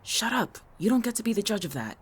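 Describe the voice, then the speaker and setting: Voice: medium pitch, very animated, fast talker, breathy edge. An actor in a fiction podcast playing a guarded, irritable homebody who is curt, sarcastic and exasperated.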